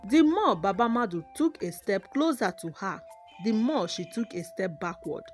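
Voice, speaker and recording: Expressive woman storyteller over music. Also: mid-range voice, moderate pace. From Nigeria. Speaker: storyteller, narrator. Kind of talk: dramatic scripted folktale narration.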